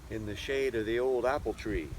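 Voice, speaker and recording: A man's voice: medium pitch, steady tone, fairly quick, a little hiss or hum, low-quality recording. A man hosting a casual travel vlog, narrating with wry, joking asides. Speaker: vlog host and travelling musician.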